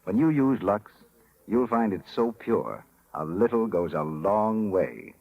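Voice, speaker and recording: Casting: American male 1930s radio announcer, deep, animated, slightly rough-edged, polished sales delivery. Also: takes it slowly, dry recording, phone-quality sound. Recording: old 1930s radio broadcast.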